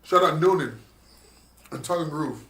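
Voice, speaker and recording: A man's voice: on the high side, normal speed, fairly bright tone. A man, hosting a radio show in casual, joking, slangy chat.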